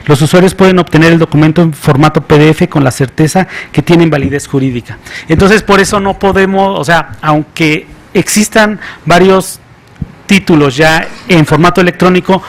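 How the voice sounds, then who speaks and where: A man on a conference panel with a slightly raised pitch. He is soft-spoken and moves between casual remarks and reading a written passage aloud. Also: normal speed. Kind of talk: academic panel discussion. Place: conference hall.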